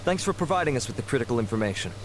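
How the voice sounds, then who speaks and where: Firm, formal lawman acted by a man, mid-pitched. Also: big pitch swings, over a noisy crowd, close to an everyday voice. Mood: calm, official. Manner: formal, measured. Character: firm, formal officer of the law, calmly authoritative. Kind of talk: English video game voice acting. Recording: clear background game noise.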